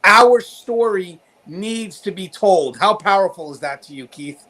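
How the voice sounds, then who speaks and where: A man's voice: high-pitched, expressive, unhurried, fairly bright tone, low-quality recording. A man hosting an interview show, presenting to his audience and addressing guests. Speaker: talk show host. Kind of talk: upbeat show hosting with sponsor read and interview questions.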